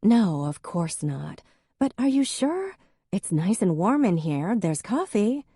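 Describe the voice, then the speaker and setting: A woman's voice: mid-range voice, sing-song, takes it slowly, mellow, husky, no echo, clean studio sound, pitched above the performer's own voice, exaggerated voice. A woman voicing a patient woman coaxing a drunk inside. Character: calm woman negotiator soothing a drunk.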